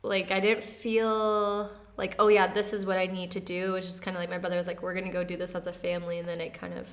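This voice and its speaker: A woman in her twenties, talking as an interview guest in a relaxed, soft-spoken way with light laughs and many fillers. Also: lowish voice, quick pace. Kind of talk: casual interview conversation.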